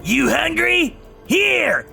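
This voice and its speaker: A man voicing a cruel young male delinquent thug who sneers and shouts taunts in a manga dub. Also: very high-pitched, very animated, extremely slow, creaky, soft background music, heavily acted. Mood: vicious.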